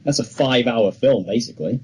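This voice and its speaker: A man's voice: medium pitch, brisk, darkish tone, a little hiss or hum, poor audio. A young man co-hosting a podcast, talking casually and chattily about films with firm opinions. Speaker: podcast co-host. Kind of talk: casual film discussion.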